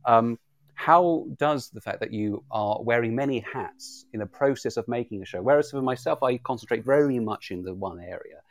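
A man's voice: medium pitch, moderate pace, no echo. A man conducting an informal interview as a fellow writer, circling into his points with hesitant repeated starts. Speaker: audio drama writer acting as interviewer.

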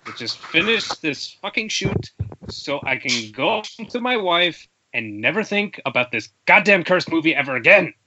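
A man's voice: on the high side, sing-song, moderate pace. A man playing a fed-up, foul-mouthed film producer in an amateur table read over an internet call. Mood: exasperated, angry. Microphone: internet video call. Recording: dull, noisy internet call, clicks.

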